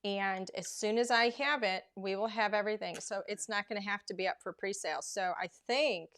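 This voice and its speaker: A woman hosting a live crafting demonstration, chatty and rambling with plenty of filler words, her voice going hoarse as she loses it. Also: mid-range voice, fairly quick, fairly bright tone. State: losing her voice. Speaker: craft show host.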